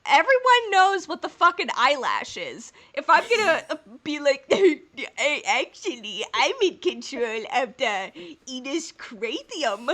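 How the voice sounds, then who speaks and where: Woman, podcast co-host, bright tone, slow unhurried delivery, laughs and jokes. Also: high voice, big pitch swings. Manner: laughing, joking, rambling. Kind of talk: casual chat and episode summary.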